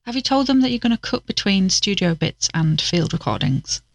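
A woman's voice: medium pitch, sing-song, moderate pace, dry recording. A woman playing an amateur investigator and podcast co-host, chatty and explanatory, in an audio drama. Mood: conversational, curious.

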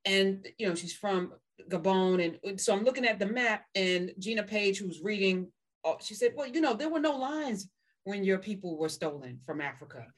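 Brisk, emphatic woman co-host. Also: mid-range voice, lively intonation. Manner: brisk, emphatic, blunt asides. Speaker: podcast co-host. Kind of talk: casual conversational discussion.

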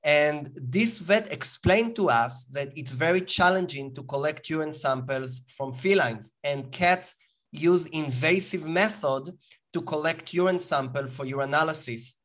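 A man from Israel speaks with an Israeli accent in a slightly higher-than-usual voice. As an interview guest, he explains things patiently, with fillers and restarts along the way. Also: a little slow, fairly bright tone. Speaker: guest, company founder. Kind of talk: interview answers.

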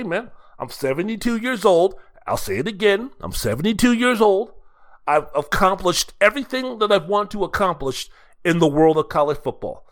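A man's voice: high voice, pushed and bright. A man hosting a sports podcast solo, giving opinionated commentary in an emphatic, theatrical way, with rhetorical questions and repeated phrases.

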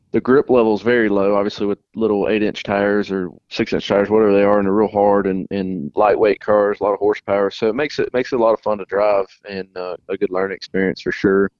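Man, young adult, racing guest, relaxed halting talk, dry and slightly muffled sound. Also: fairly low pitch, no room sound. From North Carolina. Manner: relaxed, halting, many restarts. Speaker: race car driver guest. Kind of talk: casual interview answers.

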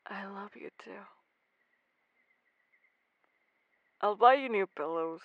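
A woman playing a gentle, affectionate young student in love in an audio drama, speaking softly and hesitantly. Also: medium pitch, lively intonation, moderate pace, vocal fry, dry recording, dull audio. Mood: intimate, loving.

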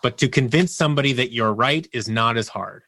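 A man's voice: medium pitch, a little fast, bright, forceful tone, dry recording. Man recounting stories in a dry, close recording. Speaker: podcast guest, audio producer. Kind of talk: casual interview talk, telling anecdotes.

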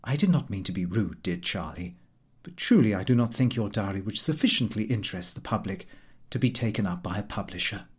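A man voicing a mild, matter-of-fact wife in an audiobook, speaking quietly and with gentle reproof. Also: lowish voice, expressive, fairly quick, husky, pitched below the performer's own voice. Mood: gentle apology.

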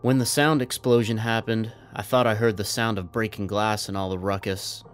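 A man playing a resigned, trapped soldier in an audio drama, speaking in a measured, earnest, persuasive way. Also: lowish voice, fairly even intonation, soft background music, lightly acted. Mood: calm explaining.

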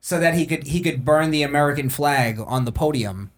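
A man's voice: on the high side, brisk. A man co-hosting a podcast, steering the casual chat and giving his opinions bluntly. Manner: opinionated, leads topics. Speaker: podcast co-host.

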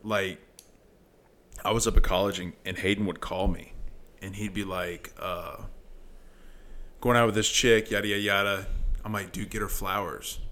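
A man's voice: low voice, steady tone, moderate pace, pauses often, bright, forceful tone. A man in his twenties co-hosting a podcast, telling stories in a laid-back way and laughing often. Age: 20s. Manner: laid-back storytelling, laughs often, heavy on filler likes. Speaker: podcast co-host. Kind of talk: casual chat.

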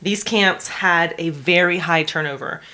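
A woman's voice: fairly low pitch, unhurried, pushed and bright. A woman co-hosting a podcast, telling history in an informal, conversational way and explaining it step by step. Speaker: podcast co-host narrating history. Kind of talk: conversational true history storytelling.

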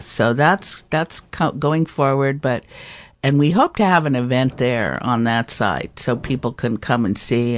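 A woman hosting a community radio show, speaking in a measured way with many "um" fillers. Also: rumbling low. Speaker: radio station manager and host. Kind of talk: casual radio chat.

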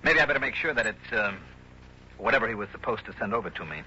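An actor playing a confident, wry American investigator in a 1960s radio drama, brisk and commanding. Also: male voice, lowish voice, lively intonation, sharp tone, slightly rough, full character voice. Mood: tough, probing. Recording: muffled, noisy old radio recording.

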